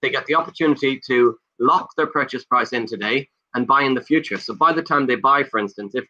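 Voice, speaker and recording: An Irish man on a video call makes an eager sales pitch, rattling off lists in quick bursts. His voice is bright and forceful, but the call audio is dull and lo-fi. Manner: fast, pushy, lists things off in rapid bursts. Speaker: guest, property investor. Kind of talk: enthusiastic sales pitch in conversation.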